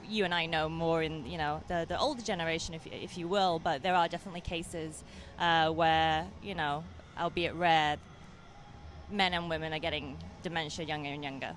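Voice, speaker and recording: Soft-spoken English woman, hesitant. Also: low-pitched, fairly even intonation, moderate pace, slight background noise. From England. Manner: hesitant, many fillers and restarts. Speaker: film director. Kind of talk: interview answers.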